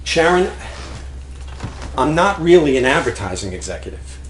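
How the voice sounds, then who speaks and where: A man's voice: on the high side, big pitch swings, moderate pace. A man playing a nervous, evasive longtime husband in a stage comedy, hesitant and stammering. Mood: anxious, earnest. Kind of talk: stage acting in a one-act comedy play. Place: indoor theater stage, recorded live. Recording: roomy live theater recording, faint noise.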